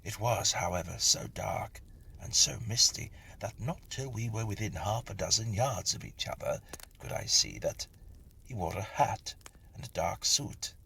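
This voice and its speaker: A man reading a Victorian ghost story as scripted narration, in a husky, breathy, hushed and dramatic storytelling manner. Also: on the low side, expressive, unhurried, slight background noise.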